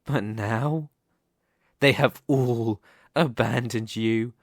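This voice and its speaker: A man playing a smug, possessive demon king in an ASMR roleplay, speaking slowly and deliberately with a teasing, condescending manner. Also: mid-range voice, no echo, studio quality. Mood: mocking pity. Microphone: close studio microphone, dry.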